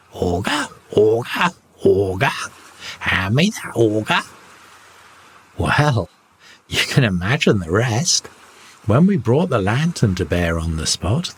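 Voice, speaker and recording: A man reading a scripted first-person bedtime story in a measured, expressive storytelling manner. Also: medium pitch, husky. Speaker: storyteller, audiobook narrator.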